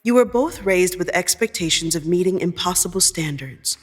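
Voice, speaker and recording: A woman narrating scripted second-person fiction in a measured, deliberate storytelling manner. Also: lowish voice, expressive, husky, soft background music.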